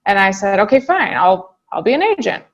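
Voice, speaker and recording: A woman being interviewed on a podcast, telling her story in a brisk, chatty way. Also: mid-range voice, sing-song, muffled recording. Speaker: guest real estate agent.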